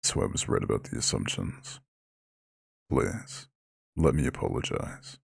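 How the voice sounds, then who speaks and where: A man voicing an ancient, imposing eldritch god who is gently caring and proud, speaking in a slow, soothing, formal growl in an ASMR roleplay. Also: very animated, no echo, studio quality, heavily acted. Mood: explaining, musing. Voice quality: very deep gravelly husky growl.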